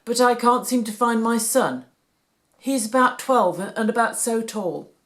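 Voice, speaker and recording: A woman's voice: fairly high pitch, measured pace, voice pushed up, close to an everyday voice. A woman reading a storybook, voicing an earnest, worried father who is searching for his son.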